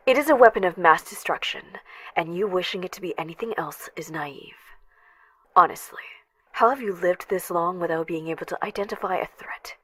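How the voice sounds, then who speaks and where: Woman as a stern, low-voiced, scornful warrior. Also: fairly quick, husky, no room sound, low-quality recording. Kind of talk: motion comic voice acting.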